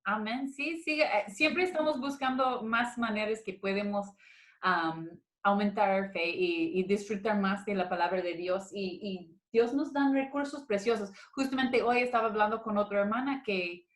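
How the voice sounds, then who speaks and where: A woman's voice: medium pitch, normal speed. A woman hosting a virtual church ladies' meeting, friendly and a little hesitant, slipping in English fillers. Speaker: host of women's meeting. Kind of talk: casual virtual interview. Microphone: video call.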